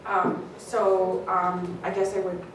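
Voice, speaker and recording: A woman's voice: lowish voice, completely flat delivery. A woman council member speaking hesitantly at a township meeting, full of fillers and restarts. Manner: hesitant, filler-heavy, measured. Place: council chamber. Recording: echoey distant room mic with hiss.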